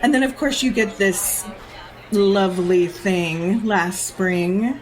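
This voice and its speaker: A woman's voice: medium pitch, takes it slowly, soft background music, lightly acted, rough recording. An actress playing a jaded, predatory society woman in an audio drama, haughty and teasing with a theatrical drawl. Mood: teasing, menacing.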